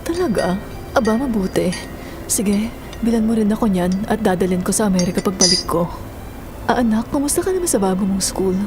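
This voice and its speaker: A woman's voice: mid-range voice, animated, a little fast, over music, close to an everyday voice. A woman acting a loving, doting mother in a Tagalog audio drama, speaking tenderly. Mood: fond, playful. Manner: tender, mixes in English phrases.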